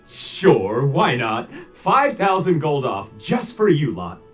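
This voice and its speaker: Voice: mid-range voice, big pitch swings, takes it slowly, soft background music. An actor voicing a cheeky, mischievous necromancer shopkeeper in a video game, with a theatrical, teasing, breezy manner. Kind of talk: video game character acting.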